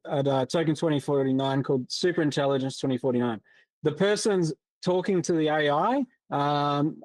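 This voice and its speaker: A man's voice: fairly high pitch, a little mellow, no room sound. Casual man explaining a tech demo. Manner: casual, many fillers and restarts. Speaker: presenter.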